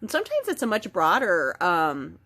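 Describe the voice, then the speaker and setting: A woman with a head cold and a slightly nasal voice, giving a casual spiritual oracle card reading in a chatty, gentle way. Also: on the low side, wildly swooping pitch, normal speed, noisy background. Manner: chatty, gentle, with frequent ums and self-corrections.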